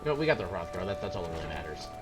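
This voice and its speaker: A man with a fairly low voice chats casually through a live game stream. He is playful and full of little asides, talking over loud video game music. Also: sing-song, very fast. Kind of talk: casual live gameplay commentary with quest text read aloud.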